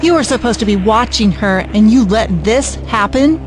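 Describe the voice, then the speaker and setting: Woman doing an angry protective mother, accusing, sharp, music bed. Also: on the high side, animated, moderate pace, close to an everyday voice, low-quality recording.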